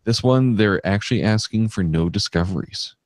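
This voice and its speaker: Male podcast host, low-pitched, casual and rambling, on a dry close mic. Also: normal speed, no room sound. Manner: chatty, many fillers and restarts.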